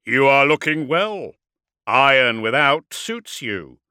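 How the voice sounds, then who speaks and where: A man's voice: mid-range voice, sing-song, slow pace, sharp tone, smooth, no room sound, studio quality, voice pushed up, heavily acted. A man voicing an ancient villainous warsmith in an audiobook, mocking, cunning and defiant, with articulate, projected taunts. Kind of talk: audiobook character acting.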